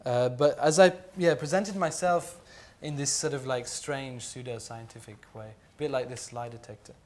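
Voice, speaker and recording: A man's voice: medium pitch, normal speed. A man from Jordan speaking at a panel talk, reflective and hesitant, with many fillers and restarts.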